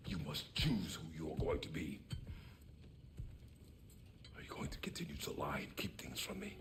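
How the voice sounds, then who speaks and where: A man's voice: rumbling low, big pitch swings, normal speed, background noise. An actor playing a stern, imposing warrior father in a video game, speaking in a formal, clipped, commanding way. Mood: angry, insistent.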